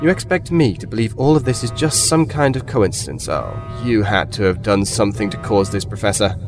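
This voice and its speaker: A man's voice: on the low side, a little fast, natural voice. A man playing an impatient, suspicious military captain in a science fiction audio drama, brusque and commanding. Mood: angry, suspicious. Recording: loud music behind the voice.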